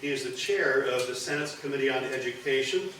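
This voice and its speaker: A man's voice: medium pitch, brisk, sharp tone, slight background noise. A man in his sixties giving an informal, rambling speech at a press event, with dry asides and no microphone. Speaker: former state senator, event speaker. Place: large room with a backdrop, indoors. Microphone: no microphone, recorded by a distant camera. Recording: echoey room from a distance.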